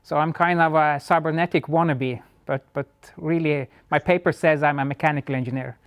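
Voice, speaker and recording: A man with a Norwegian accent answers interview questions in a smooth, resonant voice. He talks thoughtfully, restarting phrases as he goes. The sound is dry and close. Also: on the high side, fairly even intonation, brisk, no echo. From northern Norway. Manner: thoughtful, frequent restarts and fillers. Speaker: engineer guest. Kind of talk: casual interview talk.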